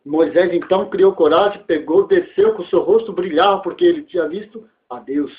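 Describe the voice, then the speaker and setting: A man's voice: high-pitched, normal speed. A Brazilian man preaching a sermon, retelling a Bible story dramatically and putting rhetorical questions to his listeners. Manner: dramatic storytelling, acting out dialogue. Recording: thin, phone-like narrow-band audio.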